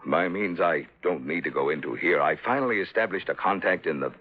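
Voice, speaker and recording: An actor playing an anxious, guilt-ridden older businessman in 1950s radio drama style, halting and pleading, with formal wording. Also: low-pitched, lightly acted. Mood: grave, confessing. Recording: dull vintage 1950s radio recording.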